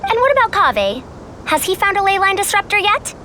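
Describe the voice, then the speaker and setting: A woman's voice: very high-pitched, very animated, a little fast, bright, forceful tone, a little hiss or hum, heavily acted. A woman playing a self-assured, haughty scholar in a video game, with a youthful voice and pompous, old-fashioned phrasing. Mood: puzzled, brisk. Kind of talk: English video game voice acting.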